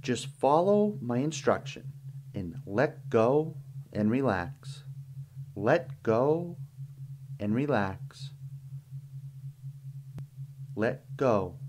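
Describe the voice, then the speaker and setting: Slow-paced man guiding a meditation. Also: mid-range voice, sing-song, darkish tone, a little hiss or hum. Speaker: guided meditation guide. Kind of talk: guided meditation narration.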